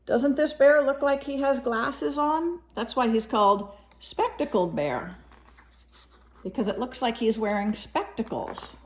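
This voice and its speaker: A woman reading a picture book aloud as a storyteller, animated and pausing to explain things and put questions to young listeners. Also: a little high. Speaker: storyteller, teacher reading to children.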